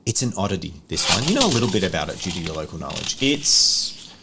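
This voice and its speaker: A man's voice: low voice, big pitch swings, fast, vocal fry, very noisy. A man acting as game master, describing scenes conversationally for a tabletop roleplaying game.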